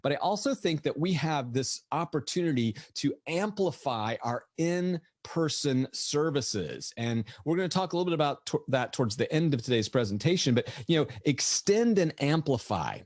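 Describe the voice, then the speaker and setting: A middle-aged man with a slightly raspy, lecture-tired voice, giving an energetic webinar presentation full of rhetorical questions. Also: on the high side, moderate pace, hardly any pauses, dry recording, clean studio sound. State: voice tired from lecturing all morning. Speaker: veterinarian presenter.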